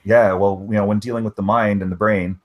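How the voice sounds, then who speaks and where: A man's voice: on the low side, fairly even intonation, a little fast. A man in a casual online chat who rambles, filling his talk with "you know" and "right". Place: online voice hangout.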